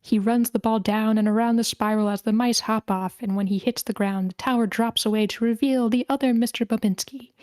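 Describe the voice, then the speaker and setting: A woman's voice: a little high, steady tone, brisk, few pauses, a little mellow, no echo. A woman reading a screenplay's stage directions as the narrator in scripted narration.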